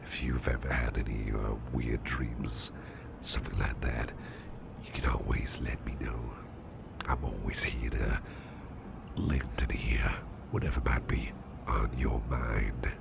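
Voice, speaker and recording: Man playing a very deep, gravelly gruff boss. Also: steady tone, heavily acted. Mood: reassuring, caring. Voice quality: very deep, gravelly, breathy half-whisper. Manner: hesitant, rambling, unhurried. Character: gruff, protective male boss, easygoing and caring confidant. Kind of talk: ASMR roleplay, soft intimate storytelling to the listener. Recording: clearly noisy background hiss or ambience.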